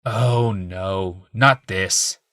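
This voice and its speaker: A man voicing a weary, muzzy young healer in an audiobook, defensive and pitched higher. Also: extremely slow, a bit bright, dry recording, studio quality, full character voice.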